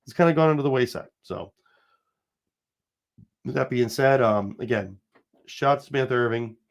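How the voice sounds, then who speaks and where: A man's voice: medium pitch, moderate pace, creaky. A man in his forties with a slight cold, hosting a casual commentary livestream in a rambling, emphatic way, talking straight to viewers. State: has a cold, sniffling. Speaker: show host.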